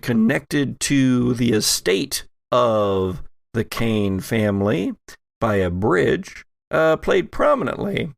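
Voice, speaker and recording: A man's voice: mid-range voice, creaky, dry recording, clean studio sound. A man chatting casually as a podcast host and game master. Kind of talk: casual chat.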